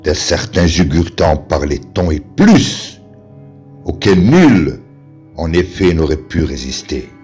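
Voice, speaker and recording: Male poetry reciter, very low voice, solemn and declamatory, unhurried, over music. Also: very animated, a little mellow, husky. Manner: slow, measured, declamatory delivery with pauses.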